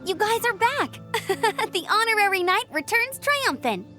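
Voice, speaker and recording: Casting: eager girl knight for anime-style game, high and lively, expressive. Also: measured pace, faint music behind, heavily acted. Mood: excited. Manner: perky, earnest, expressive. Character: energetic young female knight scout, earnest and friendly. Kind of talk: English video game character acting.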